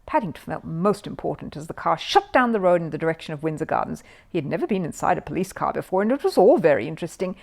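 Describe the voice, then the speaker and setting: A woman's voice: low-pitched, very animated, fast talker, vocal fry, few pauses. A woman narrating a children's story expressively from a written text.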